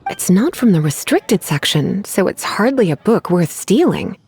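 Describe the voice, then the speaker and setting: A woman's voice: lowish voice, big pitch swings, moderate pace, creaky, background noise. A woman voicing a teasing, self-assured librarian in a video game, coy and theatrical with a sweetly menacing edge. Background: faint music.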